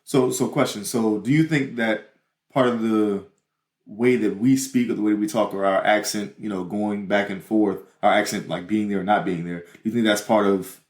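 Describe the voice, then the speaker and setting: A young man from New Orleans with a New Orleans accent, co-hosting a podcast in a halting, filler-heavy casual chat. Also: on the low side, steady tone, a little mellow. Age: young adult. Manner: halting, self-interrupting, many fillers. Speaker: podcast co-host. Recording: roomy echo.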